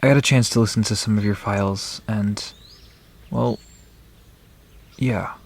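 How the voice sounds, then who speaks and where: A man playing a reluctant, guarded young man in an audio drama, husky and hesitant. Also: fairly low pitch, fairly even intonation, measured pace. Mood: evasive, uneasy.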